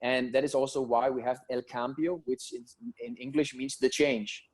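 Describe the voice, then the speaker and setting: A man shares his personal journey in an online interview. He speaks earnestly and reflectively, in a mid-pitched voice. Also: steady tone, normal speed, darkish tone. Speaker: football academy founder and guest. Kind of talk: personal story told in a webinar interview.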